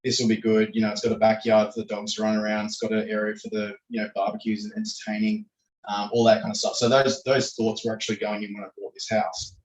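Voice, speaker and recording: A man in his thirties, a podcast guest with a croaky voice who rambles with many fillers and restarts. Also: fairly low pitch, completely flat delivery, quick pace. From Australia. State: croaky voice, clearing throat. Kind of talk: casual interview answers. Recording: echoey room, distant from the mic.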